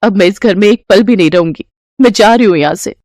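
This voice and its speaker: A woman's voice: mid-range voice, quick pace, darkish tone, no room sound, studio quality, close to an everyday voice. A woman voicing a spiteful, bossy daughter-in-law who complains sharply in an audio story. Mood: outraged, accusing. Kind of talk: Hindi audio story dialogue.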